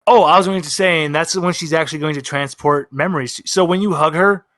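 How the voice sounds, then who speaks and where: A man's voice: fairly high pitch, fairly quick, fairly bright tone, dry recording, studio quality. A man acting as game master, improvising casual narration for a tabletop roleplaying game.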